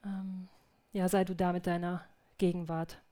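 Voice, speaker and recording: A woman back from mission work who speaks earnestly and reflectively at a church service, shares a testimony with hesitant restarts, then prays quietly. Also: fairly low pitch, little pitch movement, a little slow. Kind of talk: church testimony followed by spoken prayer.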